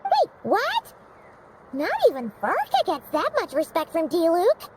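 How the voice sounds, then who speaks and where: Squeaky chatterbox sidekick girl, very high and bouncy, unhurried. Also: huge pitch swings, very noisy, full character voice. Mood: incredulous. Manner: exclaiming, nosy questions. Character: tiny floating girl companion, excitable and chatty.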